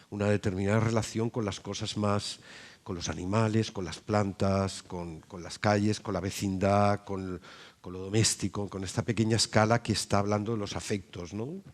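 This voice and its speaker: Male panelist, fairly low voice, thinks aloud in long, halting, reflective phrases. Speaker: panelist at a congress. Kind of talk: reflective panel discussion talk.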